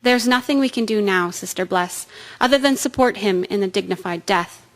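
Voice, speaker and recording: A woman playing a gentle, devoted nursing sister in a radio drama, formal, soothing and composed. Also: mid-range voice, normal speed, lightly acted. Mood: grave, composed.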